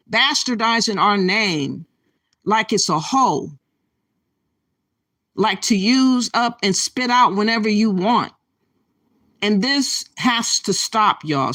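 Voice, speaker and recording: A woman's voice: fairly low pitch, a bit bright, dry recording. A woman hosting a reaction commentary, ranting indignantly and calling her viewers "y'all.". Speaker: commentary channel host.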